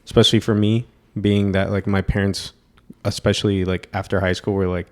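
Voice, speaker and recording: A young man in his twenties, co-hosting a podcast in a relaxed, rambling chat full of fillers and restarts. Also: sits low, fairly quick. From Mexican heritage. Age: 20s. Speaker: podcast co-host. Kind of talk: casual chat.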